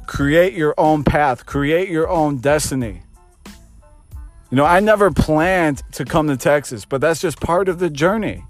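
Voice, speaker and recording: A man of thirty, a fitness coach, hosting his own podcast and giving an earnest motivational pep talk that repeats phrases for emphasis. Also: fairly high pitch. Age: 30s.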